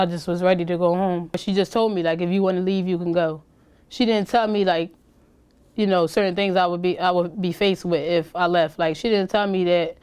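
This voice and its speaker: A woman's voice: fairly low pitch, a little mellow, clear, resonant tone. A woman in her early twenties speaking casually in a documentary interview about leaving foster care, with many fillers. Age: early 20s. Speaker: former foster youth interviewee.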